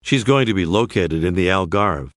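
A man narrating a language course, calmly prompting the learner with phrases to say. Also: low-pitched, dry recording, studio quality. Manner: slow, clear, prompting the learner. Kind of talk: scripted instructional narration.

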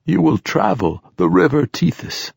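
Ancient male poet, played by a male narrator: raspy, weary yet insistent prophet, pitched well above the narration, for a science fiction audiobook. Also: big pitch swings, measured pace, darkish tone, dry recording, exaggerated voice. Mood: prophetic. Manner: tired, declamatory.

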